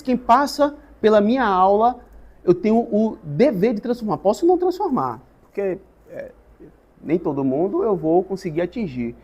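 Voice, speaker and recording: A man, a guest teacher giving a thoughtful, halting interview answer with many restarts. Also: high voice, a little mellow. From Salvador, Brazil.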